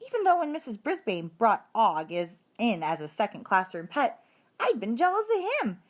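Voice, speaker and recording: A woman's voice: a little high, very animated, moderate pace. A woman narrating a children's storybook expressively, as a story reader.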